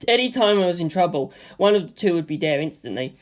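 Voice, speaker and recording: A man narrating a first-person action story as an amateur audiobook reader, in an animated style. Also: high-pitched, fast talker.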